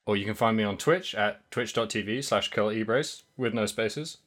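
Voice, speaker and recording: A man's voice: fairly low pitch, moderate pace, fairly bright tone. A man co-hosting a casual podcast, rambling loosely, laughing often and trailing off mid-sentence. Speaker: podcast co-host.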